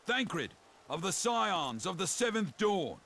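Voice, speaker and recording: An officious fantasy game guard, kinda high voice, lively pitch, very formal and stern. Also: male voice, slow, gravelly, a little hiss or hum, heavily acted. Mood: stern, accusing. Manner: formal, accusatory, clipped. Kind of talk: video game voice acting.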